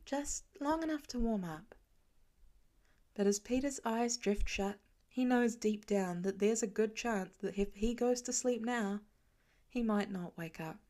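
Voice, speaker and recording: Brief: woman storyteller, even mid pitch, gentle, steady audiobook delivery. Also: a little slow. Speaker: podfic reader. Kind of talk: fiction audiobook narration.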